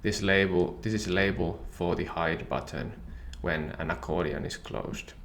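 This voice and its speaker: A man whose first language is Finnish, calmly and methodically walking through a software tutorial. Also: low-pitched, moderate pace, a little hiss or hum. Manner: calm, methodical explaining. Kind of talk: screen-share software tutorial.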